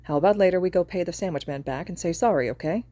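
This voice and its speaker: Woman reader voicing a kind male hero, soft and earnest, low mellow tone, storybook reading. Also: quick pace, dry recording, close to an everyday voice. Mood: gentle.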